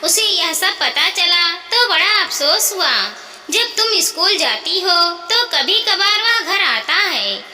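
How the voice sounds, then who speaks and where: A woman's voice: very high-pitched, lively intonation, slow pace, sharp tone, full character voice. A woman storyteller voicing a caring elderly widowed mother-in-law, earnest, motherly and persuasive in a moral story. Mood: reassuring, persuasive. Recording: echoey, reverberant hall-like sound.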